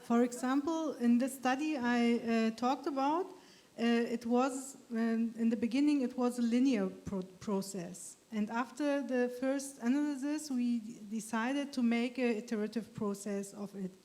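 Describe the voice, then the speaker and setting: Female panelist, middle-aged, non-native English speaker, fairly high but soft-toned dark voice, halting answers. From Germany. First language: not English. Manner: halting, searching for words. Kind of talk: answering an audience question. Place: hotel conference room. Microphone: handheld microphone.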